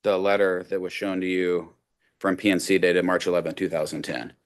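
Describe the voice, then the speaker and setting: Deep-voiced male attorney questioning. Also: little pitch movement. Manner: measured, methodical questioning. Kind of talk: courtroom cross-examination. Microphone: video call.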